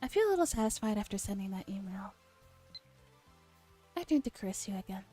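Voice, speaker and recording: Female game streamer, relaxed mellow tone, playful dialogue read-aloud for ASMR, faint music behind. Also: medium pitch, fairly quick. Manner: playful, acts out dialogue while reading. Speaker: streamer reading a visual novel aloud.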